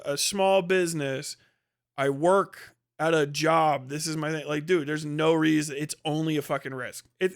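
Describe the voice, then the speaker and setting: High-pitched man ranting bluntly on stream. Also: vocal fry, fairly bright tone, clear, resonant tone. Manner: blunt, profane, dismissive. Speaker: livestream host. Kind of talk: casual opinionated livestream rant.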